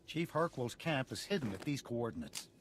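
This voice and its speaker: An actor playing an earnest leader of a besieged planet, speaking in a formal way. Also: male voice, mid-range voice, sing-song, fairly quick, slight background noise, lightly acted. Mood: earnest, explanatory.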